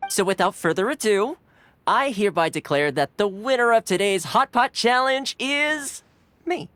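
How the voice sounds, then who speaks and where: A man's voice: high voice, expressive, moderate pace, dry recording. An actor playing a cheerful young male retainer in a video game, easygoing and polite, with a playfully boastful streak. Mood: amiable. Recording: game audio with soft music behind.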